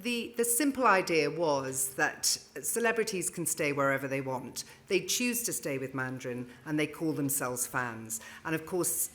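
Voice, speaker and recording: A woman's voice: rumbling low, fairly bright tone. A middle-aged woman speaking as a brand adviser on a conference panel, self-assured, telling a story in long flowing sentences into a handheld microphone. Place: conference stage.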